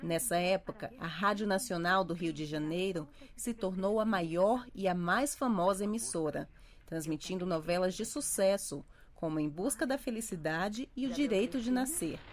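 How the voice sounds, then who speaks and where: A woman's voice: lowish voice, normal speed. A woman with a Brazilian accent reading scripted documentary narration as a reporter.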